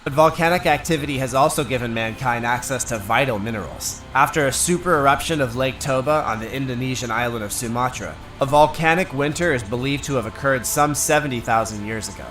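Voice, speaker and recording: A man reading scripted documentary narration in a steady, informational way. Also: mid-range voice, fairly quick, hardly any pauses, faint music behind.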